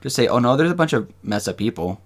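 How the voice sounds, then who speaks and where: A man co-hosting a podcast, talking casually and reading from notes, with halting, rambling explanations. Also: medium pitch, big pitch swings. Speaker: podcast co-host. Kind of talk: casual discussion with some reading aloud from notes.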